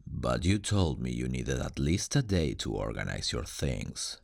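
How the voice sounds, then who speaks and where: A man voicing a suave, sardonic demon guardian in an ASMR roleplay, slow and condescending toward humans, with a very deep gravelly voice. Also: big pitch swings, a little mellow, no room sound, clean studio sound, full character voice. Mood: coaxing, teasing.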